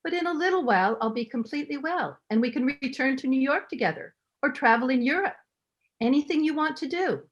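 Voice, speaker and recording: A woman playing a frail, devoted invalid wife, gentle and anxiously pleading, in 1930s radio drama style. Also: high-pitched, expressive, fairly quick, lo-fi sound. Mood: wounded pleading.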